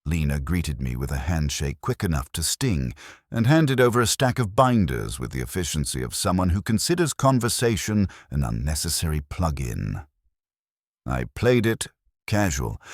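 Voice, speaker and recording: A man narrates a first-person detective story from a script. His voice is very deep with a husky grain, and his pitch moves expressively through the sentences. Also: no echo, clean studio sound. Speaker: audiobook narrator.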